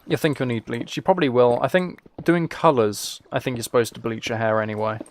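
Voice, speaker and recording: A man's voice: medium pitch, a little fast, darkish tone. A British man streaming a game and chatting casually with viewers, musing aloud in an informal, rambling way. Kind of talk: casual chat while playing a game.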